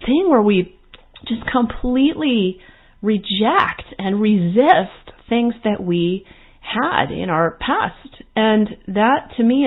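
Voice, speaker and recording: A woman hosting her own podcast, talking earnestly and reflectively about life paths and now and then acting out the thoughts of her clients. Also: mid-range voice. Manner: earnest, reflective, with quoted inner voices. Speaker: podcast host and coach. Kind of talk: solo podcast monologue. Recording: phone-like narrow sound.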